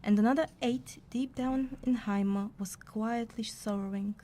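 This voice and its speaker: Mellow, wry woman acting as storyteller-scribe. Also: a little high, fairly even intonation, measured pace, lightly acted. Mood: wry narrating. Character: wry, bickering female narrator-scribe trailing the hero. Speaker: narrator. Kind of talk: scripted fantasy storytelling.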